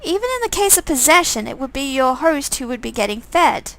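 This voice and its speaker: A woman's voice: high voice, sing-song, fairly quick, creaky, fairly bright tone, heavily acted. A woman voicing chatty, curious young women from a visual novel in a theatrical, conversational read. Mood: keen.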